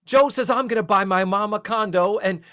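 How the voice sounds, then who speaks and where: A man's voice: high-pitched, a little fast. A man hosting a podcast, recapping an episode in casual chat and playfully acting out the characters' lines. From lives in Central Florida. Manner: animated, acts out lines in raised mock voices. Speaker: podcast host.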